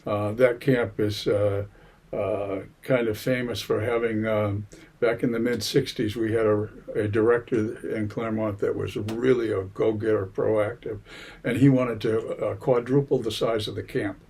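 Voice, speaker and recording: A man in his seventies from Massachusetts, giving an oral history interview in a library, unhurried and full of hesitations. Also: fairly low pitch, flat delivery, a little mellow, slightly rough. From Massachusetts, USA. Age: 70s. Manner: unhurried, many hesitations and fillers. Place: public library.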